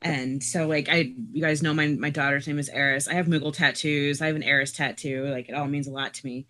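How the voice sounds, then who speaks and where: Earnest, halting woman. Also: deep voice, steady tone, a bit bright. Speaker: podcast guest panelist. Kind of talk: personal storytelling.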